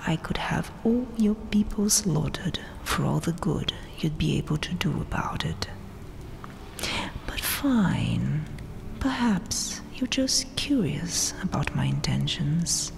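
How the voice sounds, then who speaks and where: A woman voicing a vengeful, coldly dominant vampire queen in a breathy, mocking, regal whisper for an ASMR roleplay. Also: deep voice, full character voice. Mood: scornful. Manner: slow, deliberate, mocking, regal.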